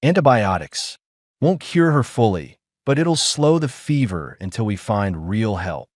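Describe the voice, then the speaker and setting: A man voicing a weary, gruff human ex-mercenary who speaks low and clipped. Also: very animated, measured pace, dry recording, clean studio sound.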